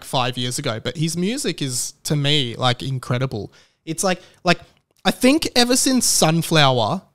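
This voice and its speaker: A man hosting a casual, comedic solo podcast, rambling bluntly and swearing freely. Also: fairly high pitch. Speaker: podcast host.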